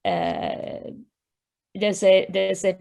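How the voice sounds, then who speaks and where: Italian-accented woman with a gravelly voice. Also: medium pitch, very animated, extremely slow, warm tone. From Italy. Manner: careful, with many fillers and restarts. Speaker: director of a computing science centre, guest. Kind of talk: interview over video call. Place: home room. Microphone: video call.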